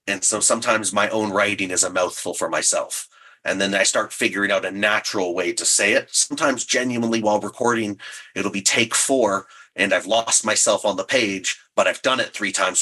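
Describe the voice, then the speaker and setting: A man talks through his creative process as an interviewed writer. His voice sits fairly low, with a forward, bright edge and some rasp, and he explains things with modest self-deprecation. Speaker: audio drama writer and creator. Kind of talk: interview chat.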